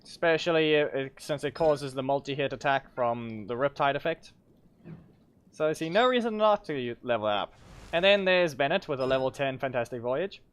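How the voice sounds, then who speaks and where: A man giving casual gameplay commentary as a streamer, in a rambling, self-correcting way with muttered asides. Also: fairly high pitch. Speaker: game streamer. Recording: clearly noisy stream audio with clicks.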